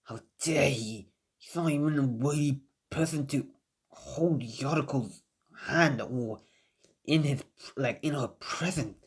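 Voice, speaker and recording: A narrator voicing an angry, brooding teenage boy ninja who seethes slowly and quietly in a fan-made story. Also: mid-range voice, husky. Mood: seething.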